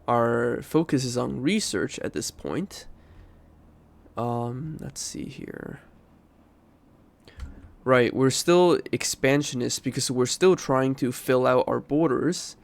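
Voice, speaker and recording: A man's voice: mid-range voice, expressive, slow, vocal fry, pauses often. A man with a stuffy nose from a cold, giving casual commentary while playing a strategy game and thinking aloud as he goes. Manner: thinking aloud, rambling, self-correcting. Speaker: game streamer.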